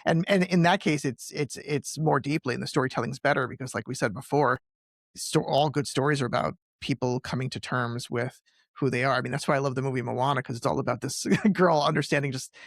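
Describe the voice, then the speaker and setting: Man, creaky gravelly voice, podcast host, casual, talkative, dry sound. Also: medium pitch, fairly quick, no echo. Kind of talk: casual conversational interviewing.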